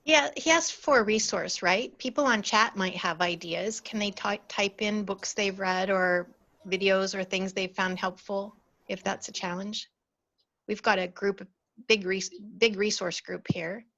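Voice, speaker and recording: A woman presents a storytelling webinar over a video call, at a middle pitch. She is friendly and direct, stumbling now and then, and the audio sounds a little muffled. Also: measured pace, fairly bright tone. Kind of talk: casual online webinar talk.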